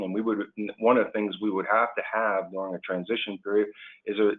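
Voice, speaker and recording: Male union leader, low register, earnest and emphatic through repetition, webinar guest, thin telephone-grade audio. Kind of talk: prepared remarks and answers on a policy webinar. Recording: tinny phone-like line.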